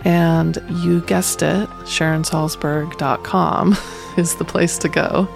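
A woman's voice: deep voice, steady tone, takes it slowly, gravelly. A woman announcer giving casual show notes before the episode starts. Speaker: podcast announcer. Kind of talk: podcast episode outro and lead-in. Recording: voice over background music.

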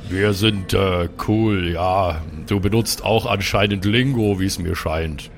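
A man's voice: low voice, slow pace, slight background noise. A man voicing an earnest, polite cleric in an improvised audio game, hesitant and conciliatory as he explains.

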